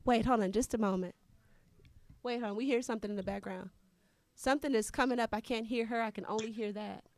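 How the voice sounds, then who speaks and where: Female talk show host, American, informal and colloquial, slightly higher-pitched voice, dry close sound. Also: fairly even intonation, quick pace, no room sound. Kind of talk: casual live interview chat.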